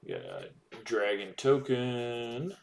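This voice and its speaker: A man's voice: mid-range voice, wildly swooping pitch, slow pace, vocal fry, a little hiss or hum. A man casually commenting as he opens booster packs, reading card text aloud with plenty of ums and uhs. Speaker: card-opening streamer.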